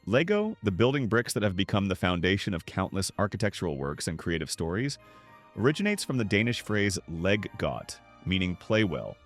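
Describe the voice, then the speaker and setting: A man narrates a scripted documentary in a fairly low voice. His intonation moves widely, yet the delivery stays measured and polished like practiced storytelling. Music plays behind him. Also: sing-song.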